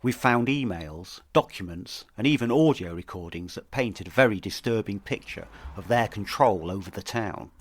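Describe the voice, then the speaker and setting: Lowish-voiced man narrating true crime. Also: expressive, normal speed, hardly any pauses, no room sound. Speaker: investigative podcast host.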